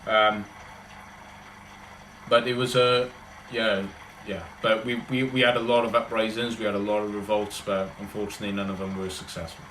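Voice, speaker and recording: A man's voice: on the low side, flat delivery, normal speed, a bit bright, roomy sound, a little hiss or hum, low-quality recording. A man with a Welsh accent, chatting casually and rambling on a livestream as he answers his viewers. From Wales. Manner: rambling, chatty, talks in loose run-on thoughts.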